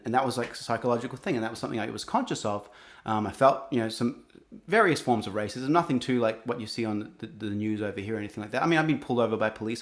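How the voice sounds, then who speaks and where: A young adult man with an Australian accent, speaking earnestly in an interview, often restarting his sentences. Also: mid-range voice. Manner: earnest, halting, many restarts. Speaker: guest, singer-songwriter. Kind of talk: reflective interview talk.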